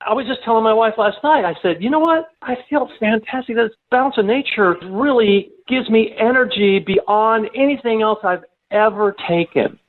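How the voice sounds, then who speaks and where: Male customer testimonial, matter-of-fact, high voice, tinny phone line. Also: normal speed. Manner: plain, matter-of-fact storytelling.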